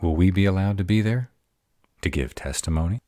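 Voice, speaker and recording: A man playing a protective, grumpy were-dragon boyfriend in a fantasy audio drama, gruff but deferential to authority. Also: sits low, animated, a little fast, mellow, dry recording, studio quality. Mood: questioning.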